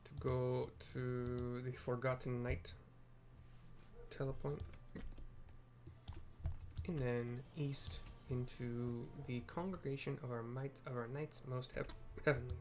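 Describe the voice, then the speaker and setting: A man's voice: mid-range voice, flat delivery, takes it slowly, pauses often, darkish tone. A man with a mid-range voice hosts a casual game playthrough. He rambles in offhand asides, with keyboard typing around his voice. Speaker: gamer, channel host.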